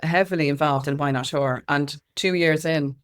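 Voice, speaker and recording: A woman's voice: deep voice, fairly even intonation, normal speed. An Irish woman speaking as an activist guest in a candid interview, with an emphatic, impassioned way of talking.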